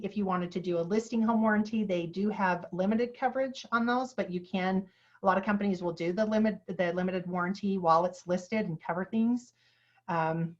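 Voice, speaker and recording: A woman teaching a real estate class on listing paperwork, chatty and full of asides and fillers, with a dry throat she keeps clearing. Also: lowish voice. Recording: dull lo-fi sound.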